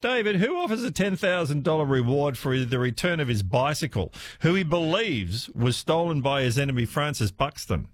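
A man hosting a talkback radio quiz, chatty and animated, laughing easily with callers. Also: on the high side, normal speed, hardly any pauses, no echo. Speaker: radio host.

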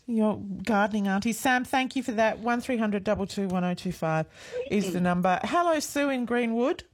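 A woman's voice: mid-range voice, normal speed. A woman co-hosting talkback radio, jokey and friendly as she takes calls. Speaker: radio co-host. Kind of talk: casual radio banter.

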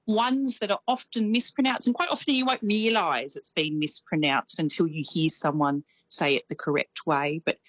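An Australian woman with a slight New Zealand lilt, chatting on radio as a correspondent, enthusiastic and quick to laugh. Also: on the low side, fairly even intonation, no echo, rough recording. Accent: Australian with some New Zealand. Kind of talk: radio chat.

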